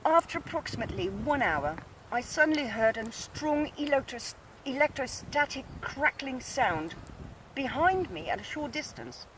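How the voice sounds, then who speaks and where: A woman with a Dutch accent reading aloud from her own book in an earnest, measured way, outdoors in a snowy field. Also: high voice, sing-song, a bit bright. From Netherlands. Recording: distant mic, outdoor noise.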